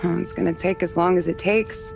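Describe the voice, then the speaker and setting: A woman's voice: on the low side, fairly even intonation, fairly quick, creaky. An actress playing a tough, sardonic young woman who talks bluntly and bosses people around. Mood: wry. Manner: blunt, wry, bossy. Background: game score music.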